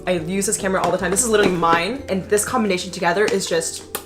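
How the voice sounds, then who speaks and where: A woman's voice: medium pitch, steady tone, racing. Chatty, emphatic young woman vlogger over music. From Indonesia. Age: young adult. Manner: hyped, chatty, slangy, emphatic. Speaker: vlogger and content creator. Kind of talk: casual scripted-feel tutorial vlog to camera.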